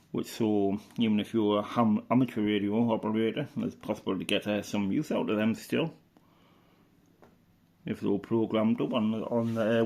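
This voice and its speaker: A middle-aged man from the UK, a radio hobbyist chatting casually through an old catalogue, rambling and unhurried with lots of fillers and restarts. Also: fairly low pitch, vocal fry, a little mellow. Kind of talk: casual reminiscing commentary.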